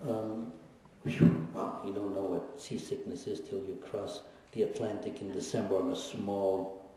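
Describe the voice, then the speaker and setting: A man in his seventies giving a personal testimony at a lecture, reflective and wry, pausing often and teasing his audience. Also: sits low, steady tone, warm tone. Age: 70s. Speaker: guest speaker, Holocaust survivor. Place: lecture hall. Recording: echoey hall recorded from far off.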